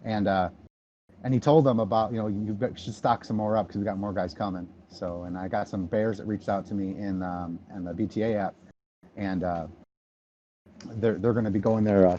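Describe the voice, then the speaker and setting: A man in his thirties from the Detroit area, chatting casually on a podcast and rambling with many ums and restarts. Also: warm tone. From Michigan, near Detroit. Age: 30s. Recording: dull low-fi audio, faint noise.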